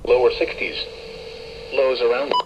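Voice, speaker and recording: A man's voice: medium pitch, flat delivery, unhurried, distant mic, dull audio. A male weather radio announcer reading a scripted forecast through a radio speaker. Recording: very noisy radio playback, off mic.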